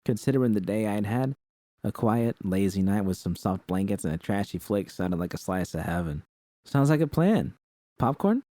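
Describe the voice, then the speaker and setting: A man narrates first-person fiction in a low, dark voice. It is a scripted audiobook read with a close, dry sound and no room around it. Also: fairly even intonation, moderate pace, dry recording.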